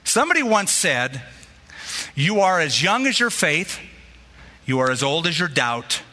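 A man's voice: on the high side, big pitch swings, measured pace, bright, forceful tone. A man preaching a sermon, telling stories and acting out the voices of people he quotes. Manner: storytelling, acts out quoted voices. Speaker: pastor. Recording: long hall reverb.

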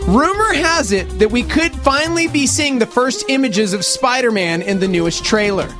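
Animated, excitable male radio host over music. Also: extremely high pitch, moderate pace. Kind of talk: animated pop-culture banter. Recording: music playing behind the voice.

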